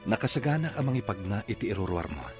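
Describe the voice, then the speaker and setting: An actor playing an earnest, forgiving brother in a radio drama, with an Ilocano accent. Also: male voice, lowish voice, big pitch swings, fast. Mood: hopeful. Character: earnest, forgiving brother reconciling a broken family. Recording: prominent music behind the voice.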